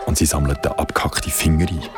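A man's voice: a bass voice, flat delivery, fast, over loud music. An actor playing a casual, slangy young man recounting a wedding in a radio drama. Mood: shocked. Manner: slangy, easily amazed, casual. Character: Besim, casual young male wedding guest recounting a horror. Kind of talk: colloquial radio drama storytelling.

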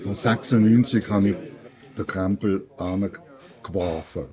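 A man's voice: low voice, takes it slowly, crowd noise. An older man with a Swiss German accent, talking casually and telling personal stories. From Switzerland. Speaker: radio maker, interviewer.

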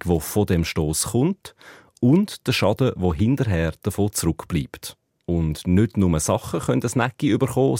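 Man, Zurich Swiss German accent, dialect expert, measured explaining, low voice, dry studio. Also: a little mellow, dry recording. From Zurich, Switzerland. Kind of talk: explanatory radio segment.

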